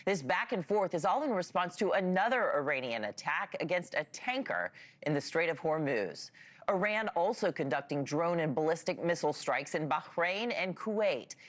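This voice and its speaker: An American woman anchors a broadcast news programme. Her voice sits a little lower than most women's, and she speaks in a news presenting style. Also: big pitch swings, moderate pace.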